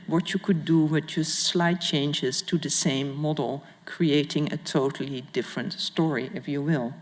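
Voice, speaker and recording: Woman lecturing in a reverberant hall. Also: low voice. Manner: formal, halting, careful phrasing. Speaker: museum curator and guest lecturer. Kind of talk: public art history lecture. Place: large indoor lecture hall with audience.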